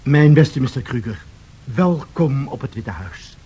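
A man's voice: mid-range voice, expressive, unhurried, mellow, lo-fi sound, a little hiss or hum, close to an everyday voice. A man acting a despairing, tearful American president in a Dutch radio drama, with theatrical, lamenting delivery. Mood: wry, weary. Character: despairing, tearful American president, anxious about his image.

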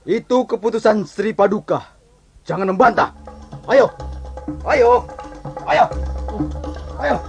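A man's voice: high-pitched, lively intonation, slow, pauses often, faint music behind, heavily acted. A man playing an obedient, stern royal guard in an audio fairy tale, dutiful and barking. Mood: stern, impatient.

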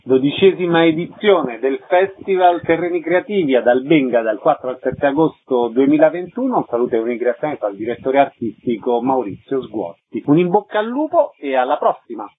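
A man's voice: medium pitch, expressive, brisk, few pauses, breathy edge, phone-quality sound. A man hosting a radio arts programme, putting short questions and reading introductions in a presenter's style. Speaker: radio host, interviewer.

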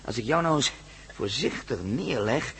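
A man's voice: medium pitch, lively intonation, normal speed, heavy background noise, lightly acted. An actor playing a hesitant, stammering male friend in an old radio play. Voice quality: stammering, nervous giggling.

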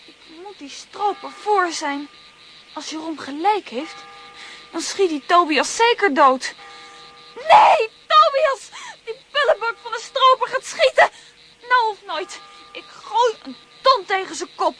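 A woman's voice: very high voice, expressive, measured pace, pushed and bright, full character voice. A woman voicing a plucky young girl in a children's radio play, urgent and breathless, with a Flemish accent. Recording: noisy background.

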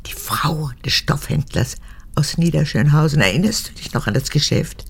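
An actress in a radio drama playing an aged, needy and domineering mother, an unrepentant former guard, with a deep, gravelly voice that moves between pleading and hardness. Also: lively intonation, normal speed, whispered, full character voice. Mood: eager, confiding. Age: older.

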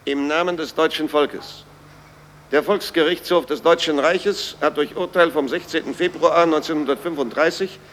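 An actor playing a cold court official who reads a formal proclamation in a radio play, with long reverb. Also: male voice, on the high side, a little hiss or hum, natural voice. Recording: long reverberant hall echo.

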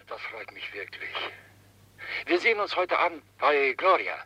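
An actor playing a courteous but probing male caller with a rough voice in a 1960s German radio crime drama. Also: a little high, sing-song, normal speed, bright, forceful tone, no room sound, lo-fi sound, full character voice. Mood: probing, puzzled.

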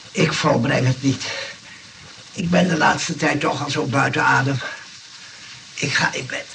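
Weary gentleman neighbour, fussy, hesitant, plaintive, radio play, fairly high gravelly voice, distant mic. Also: slight background noise, full character voice. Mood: exhausted, plaintive. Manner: hesitant, slow, formal and plaintive. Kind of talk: Dutch radio play acting.

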